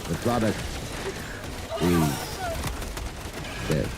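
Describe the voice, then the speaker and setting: An actor playing a suave, cold-blooded Martian invader in a 1950s radio drama, speaking with smug, polite, measured menace. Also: male voice, deep voice, expressive, exaggerated voice. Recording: noisy old radio show recording.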